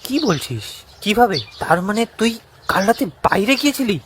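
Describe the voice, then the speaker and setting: A man's voice: sits high, very animated, brisk, fairly bright tone. A man playing a worried, hesitant young friend in a horror cartoon. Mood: surprised, doubtful. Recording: faint noise with chirping birds.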